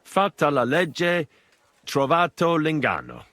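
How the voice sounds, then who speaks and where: An actor playing a plain-spoken, earnest young hero in a video game, with a dry, understated manner. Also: male voice, fairly high pitch, huge pitch swings, slow pace, a bit bright, no echo, exaggerated voice. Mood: attentive.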